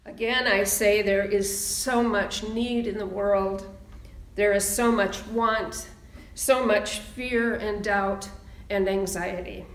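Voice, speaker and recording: A woman minister speaking in a measured, deliberate sermon style, pausing for emphasis as she reads and preaches. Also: medium pitch, steady tone. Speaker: minister leading a church service. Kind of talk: sermon and slow, solemn readings. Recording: roomy, echoing sound.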